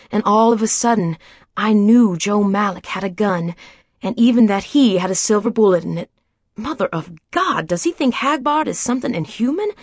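A woman's voice: mid-range voice, husky, dry recording, studio quality. A woman narrating an audiobook as a first-person inner monologue, musing in an intimate way.

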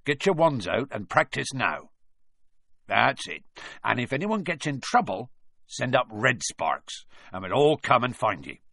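A man reading an audiobook aloud, voicing a huge, gruff but kindly male gamekeeper with a rustic dialect. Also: mid-range voice, sing-song, unhurried, sharp tone, no room sound, studio quality, full character voice. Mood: earnest.

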